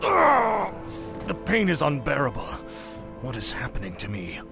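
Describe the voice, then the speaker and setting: A man's voice: a little high, wildly swooping pitch, measured pace, vocal fry, full character voice. An actor playing a cold, arrogant young undead warrior king in a video game cutscene, commanding and menacing in formal speech. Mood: pained. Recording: music behind the voice.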